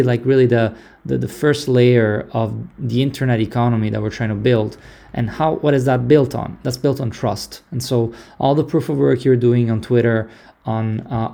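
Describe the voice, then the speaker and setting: A man's voice: mid-range voice, gravelly, mellow. A man hosting a live online audio discussion, speaking casually with many fillers and restarts.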